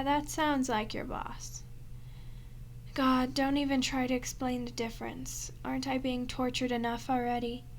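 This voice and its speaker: A woman acting a starving, captive vampire in an ASMR roleplay, proud and flirtatious, with teasing sarcasm that often drops into breathy murmurs. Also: a little high, slight background noise. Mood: sarcastic, hungry.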